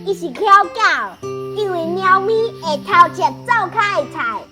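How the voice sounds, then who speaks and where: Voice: very high voice, animated, normal speed, music in the background. A performer playing an outspoken, earnest young village child in a radio drama, with a Taiwanese accent. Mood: defensive.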